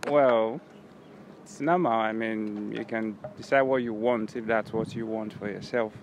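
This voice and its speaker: A man's voice: lowish voice, steady tone, a little slow. A young man with a Nigerian accent casually explaining his view in an outdoor interview. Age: young adult. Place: outdoors beside a campus road. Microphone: handheld device held by interviewer. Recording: faint outdoor background noise.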